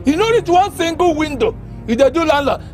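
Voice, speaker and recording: Male actor as brash Nigerian drinker-tenant for comedy drama: very high voice, mouthy, taunting, animated. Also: brisk, faint music behind. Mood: angry, mocking. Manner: loud, taunting, repetitive ranting. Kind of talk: Pidgin comedy drama acting.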